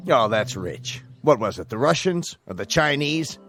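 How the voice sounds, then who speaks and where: A stern army captain in a radio drama, full of biting sarcasm. His male voice is medium in pitch and authoritative, over a bed of music. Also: very animated, measured pace, fairly bright tone. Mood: scornful.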